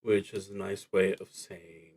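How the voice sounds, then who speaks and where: A man thinking aloud as he plays a solo tabletop roleplaying game on a stream, talking in a deliberate, halting way with dry asides. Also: deep voice, monotone, gravelly, a little mellow, husky. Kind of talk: live play narration, thinking aloud.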